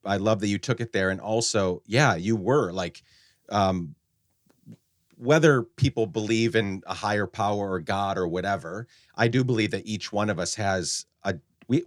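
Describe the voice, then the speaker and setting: A man from Guatemala hosting an interview, speaking earnestly and reflectively with frequent halting restarts. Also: fairly low pitch, dry recording, clean studio sound. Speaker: podcast host.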